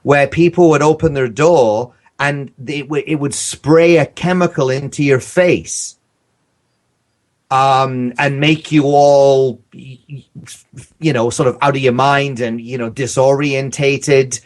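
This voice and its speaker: A man with a medium-pitched voice talks casually on a podcast. He rambles through his stories, hesitating and circling back as he goes. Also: fairly even intonation, slow pace. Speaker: podcast guest, audio drama creator.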